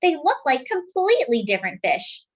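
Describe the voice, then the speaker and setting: Female children's story reader, high voice, highly animated melody, deliberate phrasing with conversational asides. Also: breathy edge. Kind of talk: expressive picture book read-aloud.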